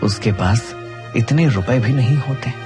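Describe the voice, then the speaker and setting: A man's voice: medium pitch, unhurried, music in the background. A man narrating a scripted moral story as a storyteller.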